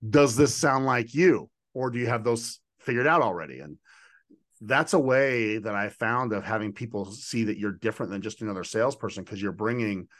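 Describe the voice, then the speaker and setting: A man's voice: on the low side, moderate pace, a bit bright. A man hosting a conversational interview, speaking in an enthusiastic, affirming and emphatic way as he lays out his ideas. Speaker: podcast host and leadership coach.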